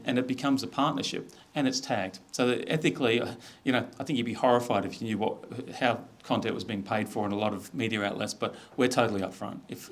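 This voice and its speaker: Australian male executive, slightly low voice, informal presenter explaining things in a conversational way.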